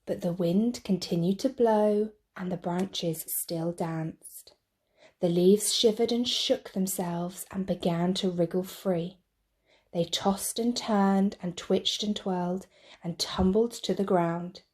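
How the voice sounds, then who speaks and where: A woman reading a picture book to children in an expressive, measured storytelling style. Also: lowish voice. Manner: measured, deliberate phrasing.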